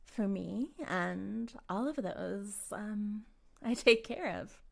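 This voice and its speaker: Slow, sweetly menacing female cult leader, acted by a woman. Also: medium pitch. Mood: rapturous, self-satisfied. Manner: theatrical, cooing, condescending, drifting into breathy whispers. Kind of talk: intimate audio roleplay monologue addressed to the listener.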